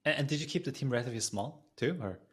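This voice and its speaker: A man hosting a casual interview, putting loose, informal questions in a halting, chatty way. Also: medium pitch, lively intonation, husky. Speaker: podcast host and interviewer. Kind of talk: casual chat.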